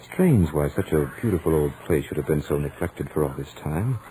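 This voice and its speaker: Deep-voiced gentleman narrator of radio horror. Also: animated, a little hiss or hum, poor audio. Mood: measured, reflective. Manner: dramatic, deliberate storyteller with suspenseful emphasis. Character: scholarly gentleman lodger narrating his haunted-house ordeal, Mister Lane. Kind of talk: 1940s radio horror acting, first-person narration. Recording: vintage 1940s radio recording, music behind.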